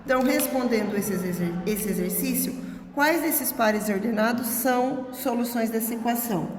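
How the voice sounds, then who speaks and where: A woman's voice: on the high side, moderate pace. A female math teacher with a Brazilian Portuguese accent, working methodically through each calculation aloud and checking in with her students as she goes. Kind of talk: recorded math lesson. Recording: echoey room, long reverb, faint noise.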